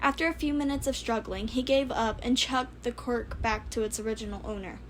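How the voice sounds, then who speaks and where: A woman's voice: on the high side, brisk, a little hiss or hum. A woman reading scripted story narration as a fan fiction narrator.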